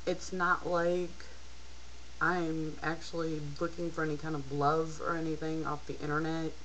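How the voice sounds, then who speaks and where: A woman's voice: deep voice, a bit bright, slight background noise, poor audio. A tired young woman telling a personal story casually, in a candid, rambling and hesitant way, as a video blogger. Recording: rock song playing in the background. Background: rock music playing behind the voice.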